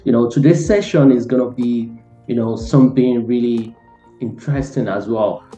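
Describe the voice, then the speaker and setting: A man's voice: mid-range voice, normal speed, warm tone, lo-fi sound, soft background music. Earnest man hosting in an echoey room. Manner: earnest, pleading, repeats words. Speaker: host. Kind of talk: motivational interview intro.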